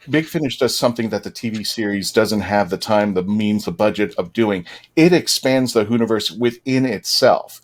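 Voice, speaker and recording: A man hosting a fan panel, talking casually to the audience and fellow panelists. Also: medium pitch. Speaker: panel host.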